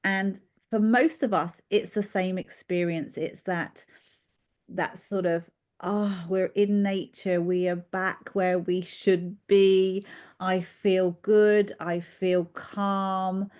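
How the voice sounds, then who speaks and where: A woman's voice: medium pitch, steady tone, takes it slowly, clean tone. A British-born woman, a children's therapist, talking in a podcast interview and telling her story with many "um"s and a reflective manner. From United Kingdom. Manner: warm reflective storytelling with frequent fillers. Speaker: guest therapist.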